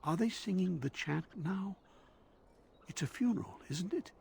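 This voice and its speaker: An actor playing a confused, pious old Templar in a video game, his mind drifting as he recites scripture in a creaky voice. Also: high-pitched, animated, moderate pace, warm tone, heavily acted. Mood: bewildered. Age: older. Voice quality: creaky, breathy in places. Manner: wandering, reciting scripture dreamily.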